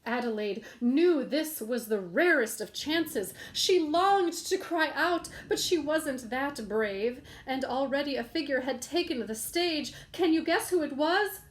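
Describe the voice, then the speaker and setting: A woman's voice: sits high, expressive, measured pace, few pauses. A woman reading a rhyming children's picture book aloud in a playful, sing-song storytelling manner.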